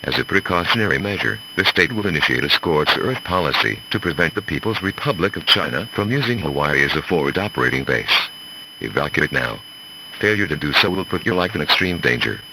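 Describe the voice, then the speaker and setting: A man's voice: extremely low pitch, lively intonation, brisk, pushed and bright, full character voice. A man playing a grave government announcer, reading a formal, stern emergency message over a noisy radio-style broadcast.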